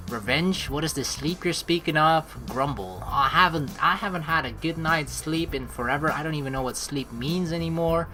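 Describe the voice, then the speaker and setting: A woman with a low, bright voice gives animated gameplay commentary. She jokes and exclaims freely, with faint music behind her. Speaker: let's play host. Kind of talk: gameplay commentary mixed with reading game narration aloud. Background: game music.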